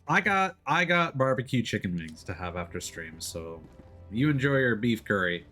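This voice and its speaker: A man chatting casually as he plays a video game on stream, often pausing and restarting mid-thought. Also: medium pitch, big pitch swings, pushed and bright. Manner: chatty, hesitant mid-thought, playful exclamations. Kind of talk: casual gameplay commentary and chat. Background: faint game music.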